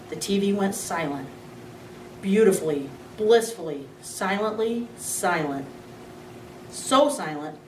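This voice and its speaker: Woman reading a storybook, expressive storytelling, roomy echo, distant mic, faint hum. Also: lowish voice. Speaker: reader. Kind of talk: reading a children's book aloud.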